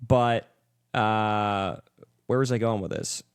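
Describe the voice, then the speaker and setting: Low-voiced man talking loose and dry. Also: steady tone, slow pace, clear, resonant tone, no echo. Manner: loose, profane, opinionated. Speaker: podcast host. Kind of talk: casual chat.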